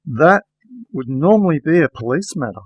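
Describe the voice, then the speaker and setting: A man playing a weary, wry private investigator who narrates a noir radio play in deadpan style. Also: fairly high pitch, normal speed, rough recording. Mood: hesitant. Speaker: narrator and protagonist.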